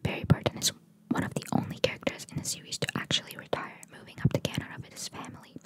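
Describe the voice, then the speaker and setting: A woman whispering in a breathy, unvoiced voice in an informal, chatty ASMR style, reading and commenting casually. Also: fast talker. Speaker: ASMR creator.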